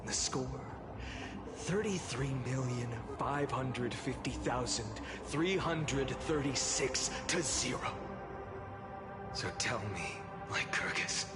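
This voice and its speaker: A man voicing a defiant, weary hero in a video game, hardened and scornful, with measured theatrical delivery. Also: medium pitch, lightly acted. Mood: cold contempt. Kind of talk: dramatic video game voice acting. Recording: loud music behind the voice. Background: loud game music.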